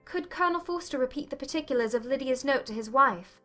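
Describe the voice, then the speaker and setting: Woman acting an earnest, high-voiced young gentlewoman. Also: expressive, fast talker, soft background music. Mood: anxious, probing. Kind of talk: dramatized audiobook acting.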